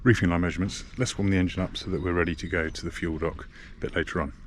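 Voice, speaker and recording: Low voiced guy doing a casual boat vlog, some background noise going on. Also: fairly even intonation, very fast. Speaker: sailing vlogger. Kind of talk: casual vlog talk to camera. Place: aboard a sailboat in a marina. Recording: clear background ambient noise.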